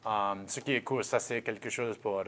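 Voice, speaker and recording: A man's voice: fairly low pitch, fairly even intonation, moderate pace, fairly bright tone. A man hosting a casual interview, encouraging his guest with frequent short affirmations. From Louisiana. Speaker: interview host.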